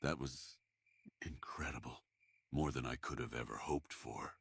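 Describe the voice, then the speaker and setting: A very deep-voiced, tender lover, acted by a man for an intimate ASMR roleplay. He speaks slowly and haltingly, with a heavily husky tone and a shy, earnest manner. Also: fairly even intonation, a bit bright, exaggerated voice. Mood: breathy, intimate.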